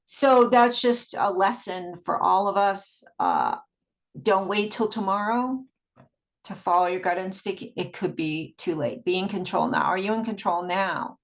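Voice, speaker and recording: A woman video blogger giving a rambling, casual monologue in a halting, repetitive way, often trailing off. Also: mid-range voice, expressive. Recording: dull, muffled lo-fi sound.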